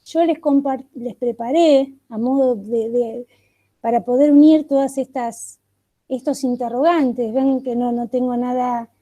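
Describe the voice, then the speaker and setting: A woman leading an online catechesis workshop over a video call, speaking reflectively and posing one question after another with thoughtful pauses. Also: sits high, fairly even intonation, mellow, lo-fi sound.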